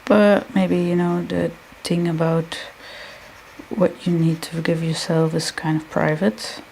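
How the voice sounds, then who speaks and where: Woman, Dutch accent, slightly low, unhurried, halting, muttering to herself. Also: flat delivery, gravelly, a little mellow, slight background noise, poor audio. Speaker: artist live-streaming while drawing. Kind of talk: thinking aloud while drawing.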